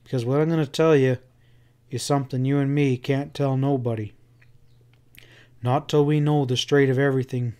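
A man voicing a grave, wounded cowboy in a Western audiobook, quiet and plain-spoken. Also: mid-range voice, fairly even intonation, moderate pace, pauses often, clear, resonant tone, natural voice. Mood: confiding. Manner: quiet, rustic Western speech.

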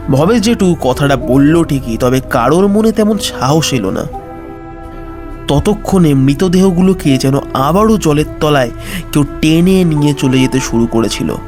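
A man narrating a horror story as a storyteller, dramatic and suspenseful, with deliberate pauses. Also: medium pitch. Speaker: storyteller, channel host. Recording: music under the voice.

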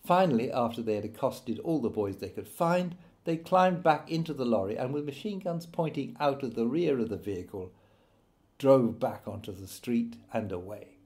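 Male author-narrator, mid-pitched, reading memoir prose in a measured storytelling delivery. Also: expressive, a little mellow. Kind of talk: scripted audiobook narration.

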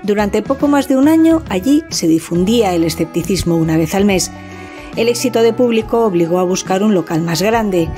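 Female voice-over, measured documentary read over music. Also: mid-range voice, animated, dark tone. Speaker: narrator. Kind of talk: scripted documentary narration.

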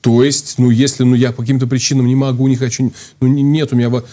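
Fast-talking priest on a studio talk show. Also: male voice, mid-range voice, flat delivery, mellow. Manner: fast, thinking aloud with many restarts and fillers. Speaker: priest guest. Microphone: lapel.

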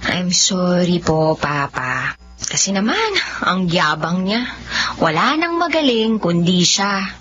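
A woman's voice: lowish voice, very animated, takes it slowly, fairly bright tone, heavily acted. An actress playing a friendly, frank young single woman with a Filipino accent in a radio drama. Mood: apologetic, annoyed. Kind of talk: Tagalog radio drama acting. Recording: dull lo-fi audio with faint noise.

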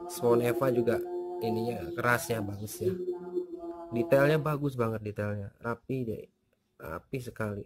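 A man giving a relaxed, chatty unboxing review of fishing gear, full of fillers and tag questions. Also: medium pitch, normal speed, faint music behind. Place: indoors on a tiled floor.

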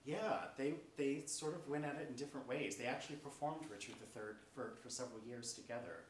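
A man's voice: mid-range voice, fast. A man moderating a live panel on a theater stage, explaining informally and often restarting his phrases. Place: theater stage with seated audience. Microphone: picked up from far off. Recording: distant, echoey, noisy theater recording.

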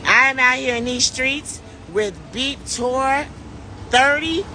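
A woman's voice: sits high, takes it slowly. A middle-aged woman hosting a casual live selfie video outdoors on a city street, speaking enthusiastically and calling her viewers y'all, recorded on a phone held at arm's length. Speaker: vlog host and tour organizer. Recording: music playing clearly behind voice. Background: music.

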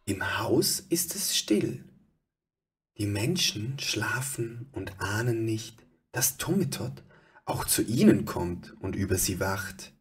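Slow, low-voiced man telling a story. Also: big pitch swings, husky. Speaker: storyteller, narrator. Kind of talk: scripted children's story narration.

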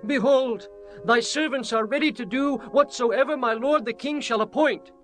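An actor playing an eager, obedient royal servant in a dramatized Bible recording. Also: male voice, extremely high pitch, normal speed, a bit bright, faint music behind. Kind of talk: dramatized Bible audio acting.

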